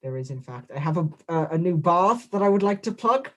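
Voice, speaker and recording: Deadpan boastful man in character. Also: sits high, fairly quick. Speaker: guest wrestler. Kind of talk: comedic in-character interview.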